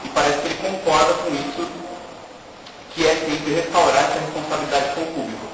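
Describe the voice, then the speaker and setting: A young adult man with a Brazilian accent gives an informal academic presentation as a student, explaining haltingly and rephrasing as he goes. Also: fairly high pitch, steady tone. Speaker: undergraduate student presenting research. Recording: echoey, noisy room, distant mic.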